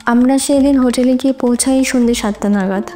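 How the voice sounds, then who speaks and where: A woman playing a young student who testifies in court in an audio drama, earnest and emotive in a deliberate, composed but distressed way. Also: high-pitched, fairly even intonation, mellow, faint music behind, close to an everyday voice. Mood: earnest recounting. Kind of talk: Bengali audio drama acting.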